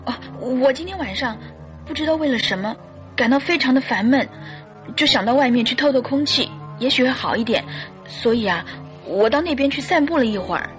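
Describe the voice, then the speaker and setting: Radio drama casting: anxious young wife with a secret, very high bright voice, faltering pleas, music underneath. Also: female voice, animated, fairly quick. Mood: nervous, evasive. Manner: faltering, breathy pleading with stammers. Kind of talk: old-fashioned radio drama acting.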